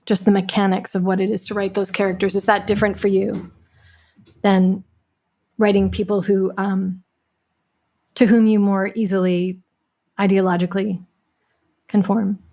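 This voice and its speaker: A woman in a thoughtful discussion, phrasing her questions and points in a deliberate, articulate and emphatic way. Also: medium pitch, little pitch movement, mellow.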